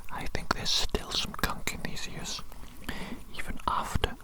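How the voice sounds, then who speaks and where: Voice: unhurried, lightly acted. A performer voicing a fussy, obsessive evil doctor in an ASMR medical roleplay, whispering breathily in a repetitive, theatrical, doting way. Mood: fussy, dismayed.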